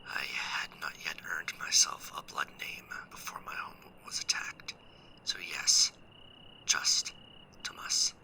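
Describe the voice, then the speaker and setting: A man playing a proud, sullen young captive mech pilot in a sci-fi ASMR roleplay, hushed and gravelly, earnest but grudging. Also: slow, poor audio. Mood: reluctant, subdued. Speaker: voice actor in an audio roleplay.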